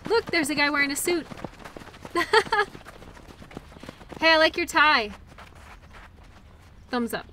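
Woman doing a caring playful girlfriend in a roleplay audio, highish bright voice, breezy and affectionate. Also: full character voice. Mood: protective, teasing. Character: tired, playful, caring girlfriend at a mud race. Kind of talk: intimate roleplay audio acting, one-sided conversation.

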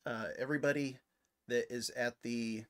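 A man's voice: medium pitch, fairly even intonation, moderate pace, clear, resonant tone. Middle-aged man hosting a casual livestream. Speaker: livestream host. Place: indoor room with decorated wall.

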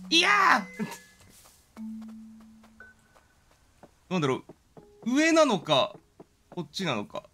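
A man's voice: sits high, extremely slow, long pauses, soft background music. A man doing casual game commentary as a streamer, exclaiming and talking to himself as he plays. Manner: animated, exclamatory, talks to himself.

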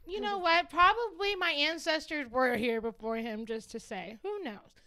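A young American woman, a podcast guest, telling stories in an animated, emphatic way into a table mic in a small studio. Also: high-pitched, moderate pace. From United States. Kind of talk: casual chat, storytelling. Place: small studio with curtains, indoors. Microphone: podcast mic on a stand at a table.

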